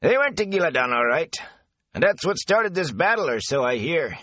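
A man voicing a gossipy, rambling rustic gatekeeper with folksy country speech, high and lively. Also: normal speed, no room sound. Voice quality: folksy dialect, rambling and eager.

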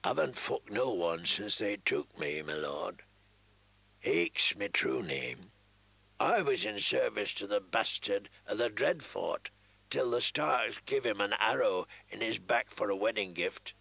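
A man voicing a foul-smelling male prisoner who speaks roughly and humbly to his captor. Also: lively intonation, unhurried, pushed and bright, dry recording, heavily acted. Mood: servile. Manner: rough, low-class speech.

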